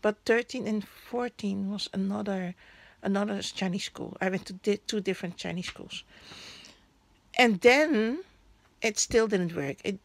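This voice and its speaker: Slow, creaky-voiced woman vlogger. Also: mid-range voice, no echo. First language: Hakka and Cantonese. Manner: hesitant, searching for words. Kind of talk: casual chat. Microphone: phone camera held over a newspaper.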